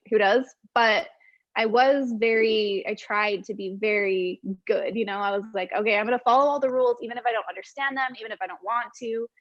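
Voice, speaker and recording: Young woman, high voice, bubbly, "like" everywhere, dry lo-fi call sound. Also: fairly bright tone, dry recording. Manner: bubbly, quick, peppered with "like". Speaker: guest YouTuber. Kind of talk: casual livestream chat. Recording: dry, dull lo-fi call audio.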